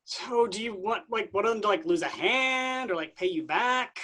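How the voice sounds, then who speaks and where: A woman's voice: mid-range voice, lively intonation, normal speed, a bit bright. A woman playing a breezy, slangy member of a scheming group in a comic stage reading. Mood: puzzled.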